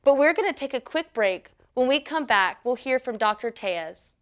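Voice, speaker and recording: A woman's voice: high-pitched, animated, moderate pace. A woman co-hosting a student show, chatting lightly and tossing to a break. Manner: chatty, light, laughs easily. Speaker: co-host. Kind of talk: casual scripted banter.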